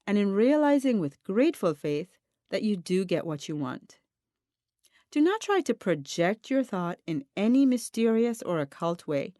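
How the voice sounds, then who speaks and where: Female audiobook narrator for self-help material; expressive, varied pitch, deliberate instructive read, dry studio-like sound. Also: dry recording. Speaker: audiobook reader.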